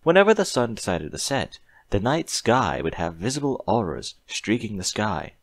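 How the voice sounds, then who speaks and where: A man's voice: on the low side, very animated, normal speed, dry recording. A man reading a story aloud in a scripted audiobook narration style.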